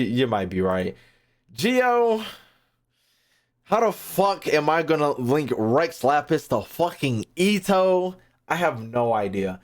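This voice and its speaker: A man with a medium-pitched voice talks casually to his livestream chat. He thinks out loud and rambles from point to point. His language is loose and full of swearing. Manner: rambling, foul-mouthed. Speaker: streamer.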